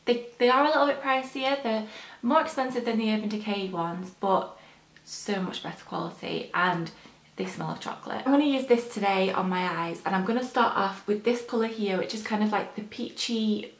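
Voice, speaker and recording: A young woman with a slightly hoarse morning voice, chatting casually through her makeup routine as a beauty vlogger. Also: mid-range voice, moderate pace, echoey room. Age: young adult. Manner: chatty, rambling, frequent ums. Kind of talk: casual chat while applying makeup.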